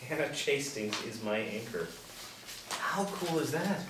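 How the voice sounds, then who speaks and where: A male actor reads an excitable, bewildered young man in a TV drama script. His mid-pitched voice moves up and down freely, conversational and incredulous. The room echoes and the mic is a bit distant. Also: unhurried, natural voice. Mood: amazed, earnest. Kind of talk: table read of a TV pilot script. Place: small indoor room. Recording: roomy, distant mic, noisy ambience.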